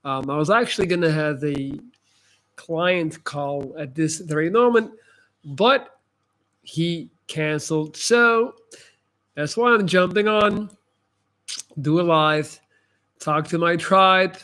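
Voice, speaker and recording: Belgian guy, dutch speaker but sounds american, bit higher voice, chatting casually on a live, informal and emphatic. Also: slow pace, pauses often, bright, forceful tone. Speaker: livestream host giving advice. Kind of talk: casual live chat, answering comments.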